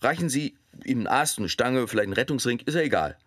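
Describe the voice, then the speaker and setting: A man's voice: medium pitch, animated, fast, a bit bright, no room sound, clean studio sound. An actor playing a man who argues a hard moral case in an insistent, rhetorical speech for a radio drama. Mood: insistent, urgent. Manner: insistent, rhetorical, addressing listeners directly.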